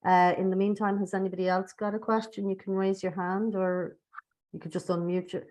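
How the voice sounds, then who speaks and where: A woman's voice: mid-range voice, flat delivery, fairly quick, a little mellow. A woman informally moderating an online talk, practical and thinking aloud as she goes. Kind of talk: informal event hosting. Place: online meeting. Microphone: video call.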